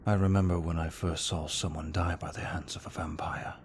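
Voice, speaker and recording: A man playing a gentle, remorseful vampire in an ASMR roleplay, speaking slowly and formally in a soft, breathy near-whisper. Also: extremely low pitch, flat delivery, slight background noise. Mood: haunted, sorrowful. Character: brooding remorseful male vampire, gentle and protective. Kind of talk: ASMR roleplay, scripted monologue.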